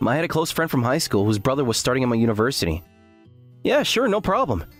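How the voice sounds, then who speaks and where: A man's voice: mid-range voice, big pitch swings, fast, a bit bright, soft background music. A man playing an earnest, self-deprecating graduate student who narrates his story in an anime-style dub. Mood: annoyed. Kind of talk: first-person scripted narration with acted dialogue.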